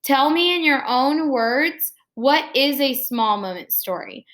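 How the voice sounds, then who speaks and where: A woman's voice: sits high, expressive, slow, fairly bright tone. A woman teaching young students, reading a story aloud and explaining it step by step in an instructional way. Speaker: elementary school teacher. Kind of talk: read-aloud lesson for children.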